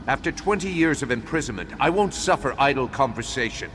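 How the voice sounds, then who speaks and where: An actor playing a grave, remorseful undead imperial battle mage in a video game, speaking in a formal, measured, solemn way. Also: male voice, medium pitch, lively intonation, fairly bright tone, natural voice. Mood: bitter, imperious. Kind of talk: dramatic video game dialogue. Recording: long hollow reverb, faint background noise.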